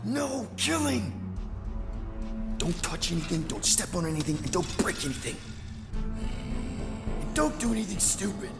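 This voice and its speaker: An actor playing a glib, exasperated space outlaw leader in a video game, talking casually. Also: male voice, high-pitched, big pitch swings, normal speed, creaky, long pauses, heavily acted. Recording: loud music, hall reverb.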